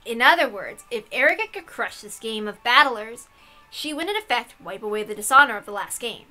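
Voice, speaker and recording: A high-voiced woman reads a story aloud with big swings in pitch. She is excitable and irreverent, slipping between dramatic narration and casual, sweary joking, over soft background music. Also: fairly quick. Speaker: host reading a visual novel aloud.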